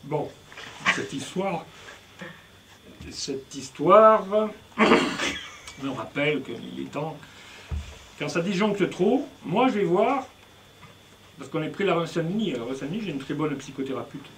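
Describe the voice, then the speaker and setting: A man's voice: on the high side, animated, measured pace, long pauses, background noise. A man performing punning, rhythmic spoken-word poetry on stage, recorded live. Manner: playful punning, declamatory and rhythmic. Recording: distant live recording with music.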